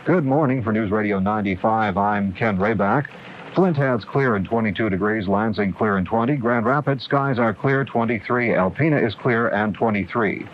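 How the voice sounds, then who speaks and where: A man's voice: lowish voice, normal speed. American newsman on dull, hissy radio. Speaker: local radio news anchor. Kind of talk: scripted news and weather reading.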